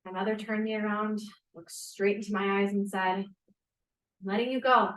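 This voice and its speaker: A woman reading the part of a confident, principled young scientist in a period drama, measured and earnest. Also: medium pitch, fairly even intonation, recorded from a distance, natural voice. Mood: earnest, firm. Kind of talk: table read of a period drama. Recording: echoey room.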